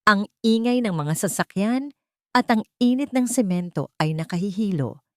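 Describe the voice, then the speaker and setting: A woman's voice: medium pitch, animated, moderate pace, darkish tone, dry recording, studio quality. A woman reading a dramatic scripted story as an audiobook narrator.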